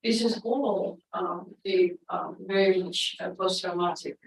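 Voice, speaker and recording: Woman, native Russian speaker, audience comment, hesitant, lower voice, roomy hall from a distance. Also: fairly even intonation, vocal fry. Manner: slow and hesitant, many fillers. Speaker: audience member, poet and psychoanalyst. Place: lecture room.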